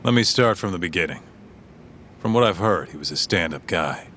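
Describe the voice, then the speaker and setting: A loyal street tough in a game, super deep voice, frank and serious, talks plain. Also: male voice, lively intonation, moderate pace, fairly bright tone, a little hiss or hum. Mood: somber, reflective. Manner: plain-spoken, colloquial. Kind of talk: dramatic game voice acting, storytelling.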